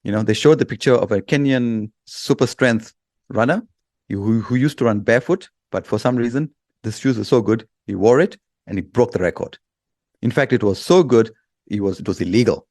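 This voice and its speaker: A man's voice: medium pitch, normal speed, a little mellow, dry recording, studio quality. A man speaking as an expert guest in an interview, explaining with examples in a blunt, emphatic way.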